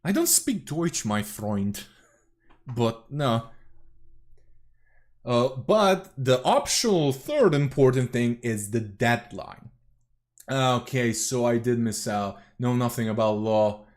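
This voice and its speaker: A man's voice: medium pitch, lively intonation, slow pace. A young man from Serbia, rambling through casual reaction commentary as a streamer, swearing often and correcting himself.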